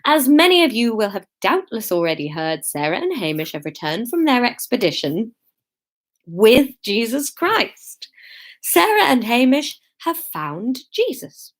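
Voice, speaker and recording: A woman plays a village vicar in a storybook reading. He sounds formal and earnest, a little stern, making measured announcements in a fairly high register. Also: sing-song, full character voice. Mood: formal, welcoming. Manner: formal, measured public address.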